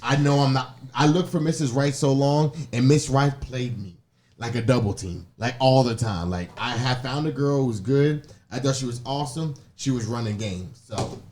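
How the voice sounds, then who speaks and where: An American man hosting a casual podcast, telling animated, slangy stories and acting out what people said. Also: mid-range voice, moderate pace. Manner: animated storytelling, slangy, acts out quoted remarks. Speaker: podcast host. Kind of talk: casual group banter and opinionated rants.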